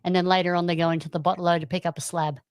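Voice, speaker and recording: Aussie woman guesting on a podcast, lowish voice, laid back, dry mic. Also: level tone, fast, smooth, no echo. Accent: Australian. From Australia. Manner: easygoing, laughing, short replies. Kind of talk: casual podcast banter.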